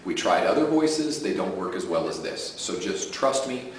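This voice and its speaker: A man with a deep voice pitching his sleep audio program in a chatty way and often adding "okay?" to his points. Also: very animated, normal speed, slight background noise. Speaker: self-help audio creator. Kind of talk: casual direct-to-camera pitch. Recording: roomy echo from a distant mic.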